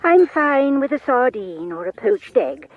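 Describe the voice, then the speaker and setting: A woman with a British accent playing a fussy, martyred, sharp-tongued elderly mother in a radio drama, heard over the telephone. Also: high-pitched, big pitch swings, unhurried, vocal fry, heavily acted. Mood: martyred, complaining. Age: older. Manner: complaining, passive-aggressive. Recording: muffled telephone line.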